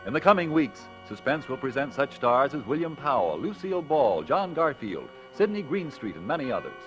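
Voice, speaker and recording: A man's voice: mid-range voice, very animated, normal speed, soft background music. A man working as a radio announcer, reading credits in a formal 1940s style. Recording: muffled old radio recording.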